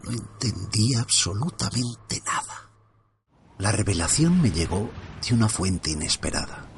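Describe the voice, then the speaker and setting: A man narrating a first-person horror story for his podcast in a hushed, suspenseful way, often sinking into whispers. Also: lowish voice, big pitch swings, a little slow, creaky, a little hiss or hum.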